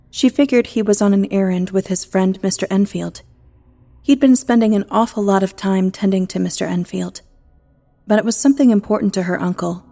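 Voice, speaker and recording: Mellow woman narrating a story. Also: medium pitch. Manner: storybook telling, measured. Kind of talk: scripted fiction narration. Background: music score.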